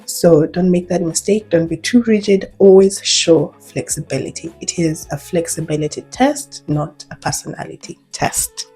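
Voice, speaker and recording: A woman's voice: fairly low pitch, normal speed, hardly any pauses, breathy edge, faint music behind. A Kenyan woman, an English teacher, giving job advice in a vlog in a conversational, emphatic way. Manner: emphatic, conversational, animated with repeated points. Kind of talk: advice vlog, speaking to camera.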